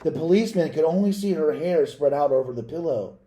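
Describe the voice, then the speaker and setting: Mellow man reading a children's story aloud. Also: on the high side, expressive, fairly quick. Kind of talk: children's picture book read aloud with asides to listeners.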